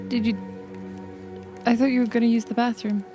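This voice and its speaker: A woman bantering casually as a player in an improvised tabletop game, playful and deadpan. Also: fairly high pitch, brisk, faint music behind. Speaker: player in a tabletop roleplay podcast.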